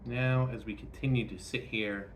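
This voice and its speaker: A man leading a guided meditation, speaking gently and instructively in short phrases with frequent pauses. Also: medium pitch, fairly even intonation, a little hiss or hum.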